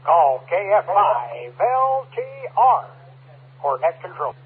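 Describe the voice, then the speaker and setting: Male ham radio net controller: high, very husky voice, folksy and courteous, inviting check-ins over radio. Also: very animated, slow. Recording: tinny narrow-band ham radio transmission.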